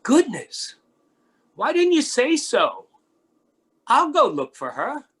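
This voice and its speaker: A performer playing a kindly, lonely, mild-mannered man in a readers theatre children's story, with a husky, hesitant delivery. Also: high voice, huge pitch swings, slow pace, a bit bright, full character voice. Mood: friendly, helpful.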